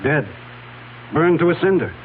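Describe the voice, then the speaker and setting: An actor playing a shrewd, steady police investigator in an old-time radio drama, terse, deliberate and matter-of-fact. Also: male voice, a little high. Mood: dry, probing. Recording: tinny old radio recording, faint hum.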